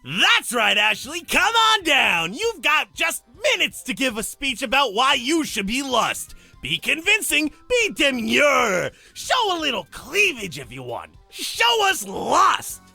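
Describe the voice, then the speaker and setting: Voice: sits high, wildly swooping pitch, slow pace, gravelly, few pauses, sharp tone, soft background music, heavily acted. An actor playing a flamboyant, teasing demon master of ceremonies in a visual novel, announcing with theatrical relish. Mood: showboating. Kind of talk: character acting in a visual novel.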